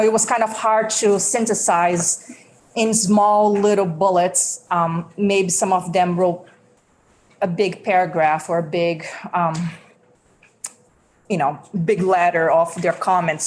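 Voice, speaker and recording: A woman's voice: on the low side, a little mellow, a little hiss or hum. A woman from Brazil with a Brazilian accent, testifying earnestly as a school food service director at a committee hearing, with many ums and restarts.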